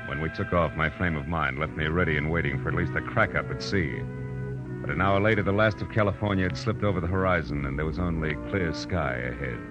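An American actor playing a hard-boiled, wisecracking private eye with wry, clipped delivery in a 1940s radio drama. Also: male voice, very deep voice, a little fast. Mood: relaxed, wry. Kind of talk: 1940s radio detective acting, first-person narration. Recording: muffled old radio recording, music behind.

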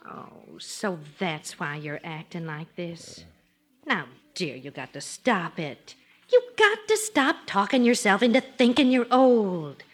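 An actress playing a sensible wife of about forty, scolding yet devoted, in an old radio sitcom. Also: female voice, medium pitch, lively intonation, slow pace. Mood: soothing. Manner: motherly, reproving, coaxing. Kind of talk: 1940s-50s radio sitcom acting. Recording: vintage radio show recording.

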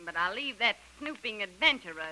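A woman's voice: mid-range voice, lively intonation, brisk, close to an everyday voice. A woman playing a domineering, scheming plantation boss, commanding and scolding, in an old radio adventure. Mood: cold, scheming. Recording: hissy old radio recording.

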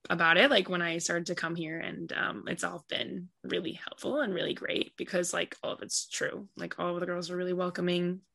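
A woman's voice: fairly low pitch, brisk, creaky, hardly any pauses. A woman soccer player answering interview questions casually, with lots of "like" and "you know". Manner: relaxed, filler-heavy speech with many "like" and "you know".

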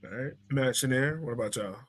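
A man's voice: medium pitch, a little fast. A man hosting a casual sports panel podcast in a loose, informal, joking way. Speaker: podcast host.

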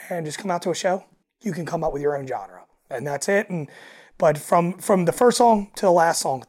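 Raspy young guy from Delaware. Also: a little high. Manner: animated, chuckles often, stumbles and restarts. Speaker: musician guest. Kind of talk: casual interview chat.

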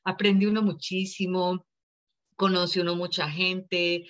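A woman's voice: on the low side, level tone, takes it slowly, muffled recording. A woman, a business consultant answering interview questions earnestly, laughing easily. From Colombia. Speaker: small business consultant, guest.

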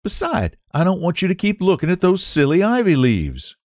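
A man voicing a caring young woman artist, brisk and urging. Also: sits high, huge pitch swings, darkish tone, dry recording, clean studio sound, voice pushed up. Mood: fond.

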